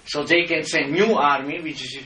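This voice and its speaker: A man's voice: a little high, normal speed, pushed and bright. A middle-aged man from the Czech Republic with a Czech accent, arguing his case in an impassioned, lecturing way with non-native grammar. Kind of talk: heated argument with officials. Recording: echoey room, mic at a distance.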